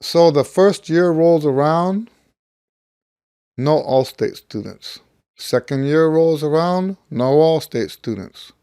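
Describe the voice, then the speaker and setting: A middle-aged American man, slightly hoarse as he gets over losing his voice, talking casually and ramblingly like a teacher sharing experience. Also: a little high, expressive, slow pace, warm tone, dry recording, clean studio sound. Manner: rambling, conversational, thinking aloud. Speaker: trumpet teacher. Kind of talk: casual talk to camera, personal anecdote.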